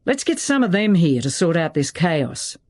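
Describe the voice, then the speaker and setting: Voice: medium pitch, sing-song, normal speed. A narrator voicing a sardonic, blustering male political operator with a flippant, sarcastic manner.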